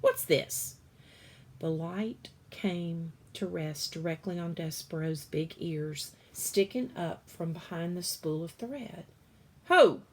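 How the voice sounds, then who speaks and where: A woman reads a storybook aloud at a slow, unhurried pace. Her narration is expressive and uses dramatic pauses. There is faint noise behind the voice. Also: lowish voice. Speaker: storyteller reading a book aloud. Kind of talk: expressive read-aloud narration of a children's novel.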